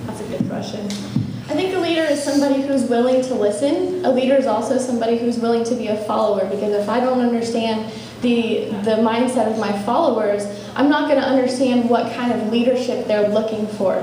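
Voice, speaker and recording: A woman's voice: a little high, brisk, warm tone. Earnest young woman in an echoey room. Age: young adult. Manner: earnest, eager, speaks in fluent run-on sentences. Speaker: student government candidate. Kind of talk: debate answers. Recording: echoey room from far off, faint noise.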